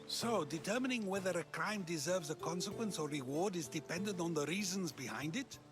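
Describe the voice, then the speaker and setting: An actor playing a wry, talkative philosopher of ancient Greece who probes with measured questions in a video game. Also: male voice, high voice, animated. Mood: probing, wry. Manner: inquisitive, measured, rhetorical questioning. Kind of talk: video game cutscene acting. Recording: music behind the voice.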